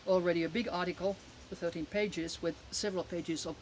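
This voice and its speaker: A woman in her seventies with a Finnish accent, giving emphatic, halting testimony as an interview guest outdoors. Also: fairly low pitch, steady tone. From Finland. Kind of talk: conversational interview answers, personal testimony. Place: outdoors in a garden. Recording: clear outdoor background noise.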